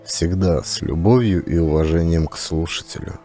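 A man's voice: low voice, normal speed. A man reading an audiobook and then thanking and addressing his listeners earnestly. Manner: earnest, warm direct appeal to listeners. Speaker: audiobook narrator. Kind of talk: scripted audiobook narration. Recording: faint background music.

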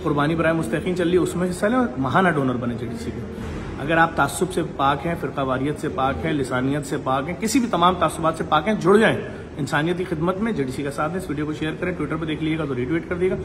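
A man's voice: a little high, fast. Urgent man filming himself on a phone. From Pakistan. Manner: urgent, persuasive, piles up lists. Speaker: charity head giving a tour. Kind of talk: walking vlog and donation appeal. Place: outdoors on a city street, then inside a dialysis ward. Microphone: phone camera at arm's length. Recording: phone audio with clear background noise.

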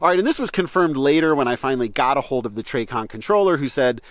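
A man's voice: mid-range voice, lively intonation, fast talker, no echo, studio quality. A middle-aged American man, a flight instructor, explaining things casually to camera in a conversational way and checking in with his listeners. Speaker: flight instructor and channel host.

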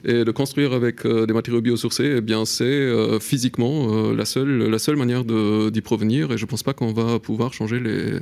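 A man's voice: mid-range voice, steady tone, a little fast, vocal fry, hardly any pauses, darkish tone. A middle-aged man speaking as a panel guest in a radio studio, thoughtful and wry, with many fillers. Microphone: studio mic on stand.

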